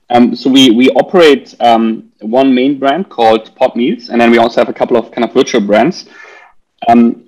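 A man's voice: mid-range voice, darkish tone, rough recording. Hesitant male startup executive on a call. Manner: hesitant, broken into short phrases. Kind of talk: explaining a business on a panel. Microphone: video call.

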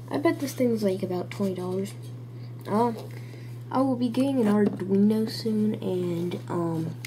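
A young person, child or teen, giving a casual, rambling gadget review into a handheld camera at home. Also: medium pitch. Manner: informal, rambling. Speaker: video reviewer. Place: small room at home, indoors. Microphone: handheld camera's built-in mic. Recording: noisy handheld camera audio with clicks.

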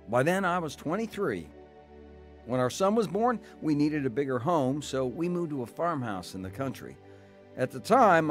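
A man's voice: medium pitch, natural voice. A man playing a disillusioned young husband and father in a radio drama, both narrating and talking in scenes. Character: disillusioned young husband and father recalling his troubled life. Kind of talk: radio drama acting with first-person narration.

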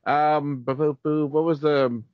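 A man's voice: on the high side, fairly even intonation, a little slow, dry recording. A man chatting casually as a podcast co-host. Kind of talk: casual chat. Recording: dull, lo-fi podcast audio.

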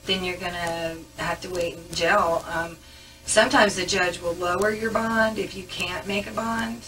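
A woman calmly questioning someone in a booking interview, asking methodical questions. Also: on the low side, a little slow. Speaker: police interviewer. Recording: distant voice with music behind.

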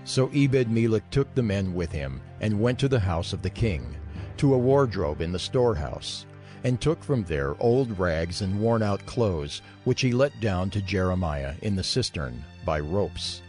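A man's voice: on the low side, expressive, unhurried, a little mellow, faint music behind. A man narrating scripture as part of a dramatized audio reading.

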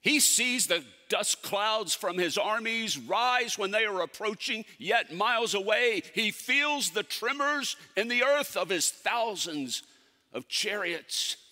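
A man performing a theatrical monologue as a solemn, commanding ancient prophet, speaking deliberately with dramatic pauses. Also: high-pitched, lively intonation, bright, forceful tone, faint music behind, heavily acted. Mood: fervent, challenging. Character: prophet Isaiah, solemn, commanding, counseling a fearful king. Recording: echoey hall with long reverb.

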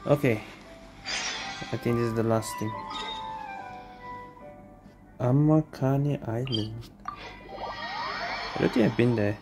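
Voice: medium pitch, animated, takes it slowly, long pauses. A gameplay streamer giving casual commentary while playing. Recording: game music behind commentary.

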